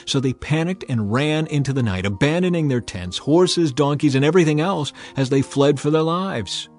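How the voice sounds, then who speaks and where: A man narrating a dramatized scripture reading in a measured, earnest way. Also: a little high, expressive, a little mellow. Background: faint background music.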